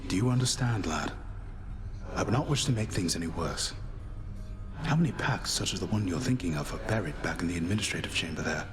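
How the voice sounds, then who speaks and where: Warrior mentor: very low, measured, cautious, fatherly delivery for a video game. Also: lively intonation, music in the background. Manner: measured, formal, careful. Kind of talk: video game character dialogue.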